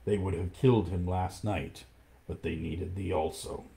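A man voicing a calm, watchful panther in a low, hushed voice. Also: measured pace, vocal fry, a little hiss or hum.

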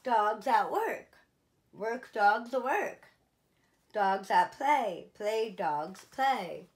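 A woman in her thirties reading a picture book aloud for children, slow and clear, with lively rises on the dogs' exclamations. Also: medium pitch. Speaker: children's museum storyteller. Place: small indoor room, seated in an armchair.

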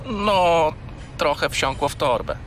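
An actor playing a naughty, chatty little furry companion who rambles and whines naively in a children's radio play. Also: male voice, fairly high pitch, takes it slowly, a bit bright, soft background music. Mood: cheeky. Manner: rambling, naively evasive, whiny.

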